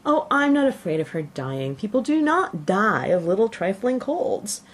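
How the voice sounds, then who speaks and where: A woman voicing a silly, excitable middle-aged mother in an audiobook, fussing and scolding in a self-satisfied, dismissive way. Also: medium pitch, normal speed, pitched above the performer's own voice. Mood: breezy, dismissive. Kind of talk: audiobook character voice.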